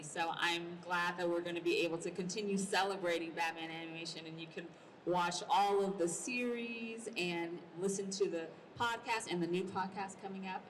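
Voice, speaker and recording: A woman moderating a live convention panel as an enthusiastic fan, speaking to guests and audience. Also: fairly low pitch. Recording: distant noisy convention hall microphone.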